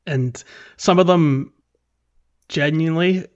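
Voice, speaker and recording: A man's voice: on the high side, slow. A man co-hosting a podcast in casual game chat, recorded with slightly dull, lo-fi sound. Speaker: podcast co-host.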